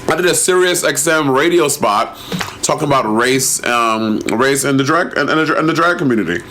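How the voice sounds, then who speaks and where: A man's voice: medium pitch, lively intonation, normal speed, background noise. A man in his late twenties chatting casually as a podcast co-host, playful, slangy and exclamatory, sharing anecdotes. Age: late 20s. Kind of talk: casual chat, personal anecdotes. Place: at home in an apartment.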